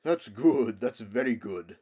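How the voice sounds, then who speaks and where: A man's voice: medium pitch, expressive, slow pace, mellow. A proud talking horse from a storybook, played by a man. He speaks in a pompous, emphatic way, lecturing like a worldly elder companion. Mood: self-satisfied. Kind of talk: storybook character voice.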